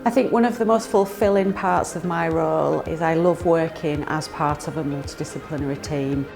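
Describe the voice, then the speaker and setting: A woman's voice: sits low, fairly even intonation, moderate pace, creaky, music in the background. A woman physiotherapist talking about her work, reflective and earnest, with some fillers.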